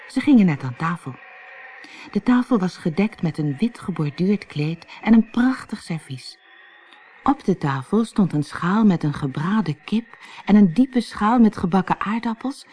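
An audiobook narrator reading a children's fairy tale in an expressive, clearly paced storytelling manner. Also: mid-range voice.

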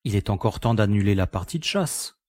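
A man's voice: lowish voice, expressive, a little fast, a little mellow, no echo. Man acting an easygoing pilot, very dry sound. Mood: considerate. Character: easygoing, practical male spaceship pilot. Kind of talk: scripted French audio sci-fi drama.

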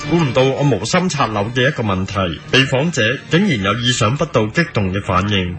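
A man's voice: mid-range voice, big pitch swings, brisk, fairly bright tone, faint music behind. A man playing an earnest, obstinate young TV news reporter with a pompous manner and a Hong Kong Cantonese accent, in a comic radio drama. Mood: solemn reporting. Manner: pompous, doggedly repetitive questioning.

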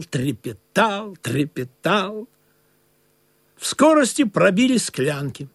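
A man playing a droll sailor who narrates a comic sea tale in an expressive, theatrical, husky voice. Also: fairly high pitch, dry recording, clean studio sound, full character voice. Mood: wry storytelling. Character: droll male sailor narrator, excitable and fawning among crew.

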